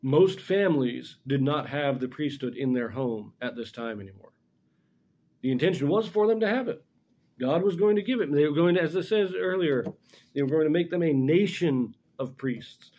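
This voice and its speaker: A middle-aged man giving an informal religious lesson as a scripture commentator, explaining things deliberately and correcting himself as he goes. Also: on the high side, lively intonation, dark tone. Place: small indoor room in front of a blackboard.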